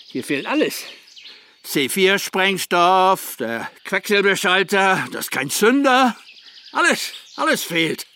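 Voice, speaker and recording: An actor playing a sardonic older Irish ex-militant father in a radio crime drama, with a mocking, halting, theatrical delivery. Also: on the high side, creaky, sharp tone, slight background noise, exaggerated voice.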